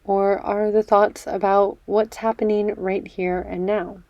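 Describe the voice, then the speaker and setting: Slow, mellow woman guiding meditation. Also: medium pitch, level tone, no echo, lo-fi sound. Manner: gentle, measured, soothing delivery with pauses. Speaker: meditation guide. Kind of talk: guided meditation, body scan.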